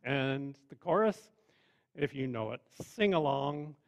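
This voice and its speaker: A man preaching a sermon in a measured, conversational way with wry asides. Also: mid-range voice, expressive. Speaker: pastor.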